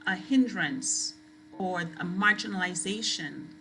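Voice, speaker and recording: A woman from Trinidad and Tobago, giving an academic lecture as a guest speaker in a deliberate, emphatic way. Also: fairly low pitch, background noise.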